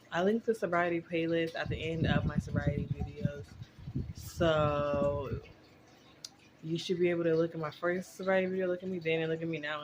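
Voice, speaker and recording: A woman in her twenties chatting casually and candidly to her viewers, rambling in a conversational vlog style. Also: on the low side. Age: 20s. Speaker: vlogger. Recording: very noisy recording with clicks.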